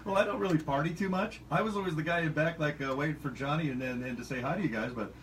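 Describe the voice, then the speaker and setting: A man's voice: on the high side, fast, slight background noise. A man hosting a casual radio interview, talking in a rambling, chatty way with lots of fillers. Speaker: radio host.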